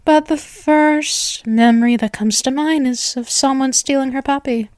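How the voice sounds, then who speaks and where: Woman acting a slow, sweetly menacing vampire girlfriend. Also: high-pitched, darkish tone, dry recording, studio quality. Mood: casual, smug. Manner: slow, coy, cooing endearments with threatening possessiveness. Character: possessive centuries-old yandere vampire girl, sweetly menacing lover. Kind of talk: ASMR roleplay, intimate scripted monologue.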